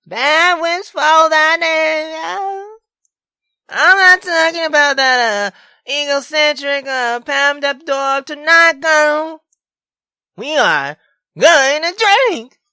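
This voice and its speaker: A high, sharp-voiced drunk young woman from a visual novel, acted out by a woman. She rambles in slang, halting and bossy yet fond of her friend. The sound is close and dry. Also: dry recording, exaggerated voice. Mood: tipsy, rambling.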